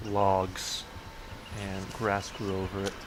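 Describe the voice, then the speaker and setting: Slow, sarcastic, congested man. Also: low-pitched, completely flat delivery, noisy background. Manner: dry, sarcastic, halting. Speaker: video host. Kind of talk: sarcastic narrated tour.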